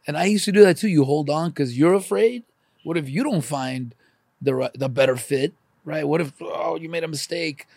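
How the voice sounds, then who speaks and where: A man's voice: a little high, moderate pace, gravelly, a little mellow, no echo, clean studio sound. A middle-aged man in casual, confessional conversation, speaking candidly and emphatically, swearing and peppering his talk with "man" and "dude.". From born in Ukraine, emigrated at age four.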